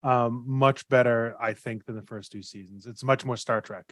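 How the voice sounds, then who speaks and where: A man's voice: mid-range voice, fairly even intonation, moderate pace, a bit bright, no room sound. Dry-recorded man in casual panel chat. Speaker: podcast panelist.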